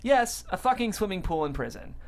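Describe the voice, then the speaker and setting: A woman with a very lively, swinging delivery reads stage directions. She is the narrator and host of a comedy table read. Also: medium pitch, fairly quick, slight background noise.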